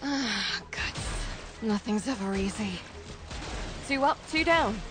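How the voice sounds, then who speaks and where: A woman's voice: mid-range voice, animated, takes it slowly, music in the background. A woman playing a tough, dry, commanding resistance leader in a video game. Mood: commanding, wry. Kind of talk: video game mission briefing.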